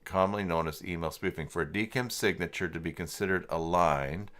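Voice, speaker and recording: Low-voiced man thinking aloud on a livestream. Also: a bit bright. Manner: halting, muttering to himself, trailing off. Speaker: livestream host working through website email setup. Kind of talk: casual livestream tutorial, thinking aloud and reading from screen.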